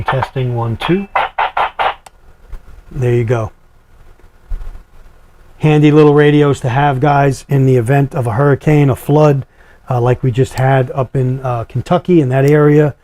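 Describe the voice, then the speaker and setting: A man's voice: mid-range voice, dark tone. A man talking casually as a video host, explaining gear in plain words, step by step. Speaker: product reviewer and video host. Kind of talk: casual how-to product demonstration.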